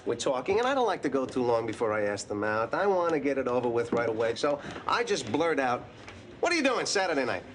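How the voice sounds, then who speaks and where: An actor in his thirties playing a neurotic, anxious man who rambles excitably and puts himself down while telling a dating story. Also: medium pitch, fast talker, slight background noise, close to an everyday voice. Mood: rambling. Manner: rambling, self-deprecating, excitable. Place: coffee shop and a parked car at night.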